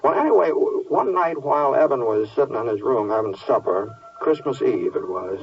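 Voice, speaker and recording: An actor playing a folksy American cowboy narrating a tale haltingly, in 1950s radio style. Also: male voice, mid-range voice, lively intonation, breathy edge, no echo, faint music behind. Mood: gentle storytelling. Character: folksy, hesitant cowboy telling a tale to a child. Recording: muffled distant 1950s radio recording.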